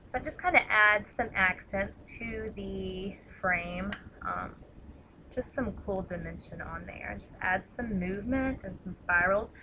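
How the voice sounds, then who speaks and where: A woman with a tired, slightly raspy voice chatting casually through a craft tutorial, rambling and self-deprecating as she works. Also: medium pitch, a little slow, background noise. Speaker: craft demonstrator.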